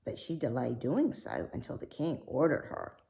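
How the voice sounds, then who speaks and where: A woman reading a fairy tale aloud as a storyteller, measured and expressive. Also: rumbling low, gravelly.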